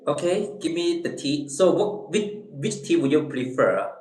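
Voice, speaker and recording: Slow, halting man with Chinese accent. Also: on the high side, animated, darkish tone. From Eastern China. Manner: halting, repeats words, searches for English phrases. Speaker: livestream host. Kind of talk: casual livestream chat with viewers. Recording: echoey room.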